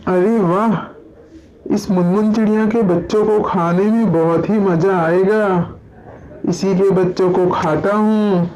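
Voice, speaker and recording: A man voicing a greedy, gloating, mocking male vulture villain in an animated moral story. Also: sits high, unhurried, poor audio. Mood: greedy delight. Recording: faint background noise.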